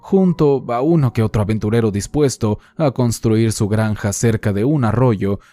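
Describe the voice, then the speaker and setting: A man calmly narrating horror stories sent in by listeners, in a measured, somber reading. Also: on the low side, no room sound. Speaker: horror story channel narrator. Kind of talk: scripted horror narration read from listener letters. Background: faint music.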